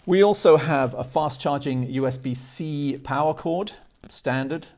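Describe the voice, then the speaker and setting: A man's voice: on the high side, unhurried, a little mellow. A man in his sixties giving a casual unboxing and review talk to camera, chatty and explanatory, leaning on key points. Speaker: product reviewer. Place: indoors, garage-like room.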